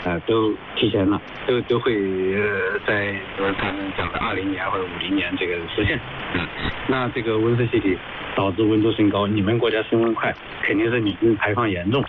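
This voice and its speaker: A man explaining things unscripted in a radio interview, halting as he thinks aloud. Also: on the low side. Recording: noisy narrowband shortwave radio.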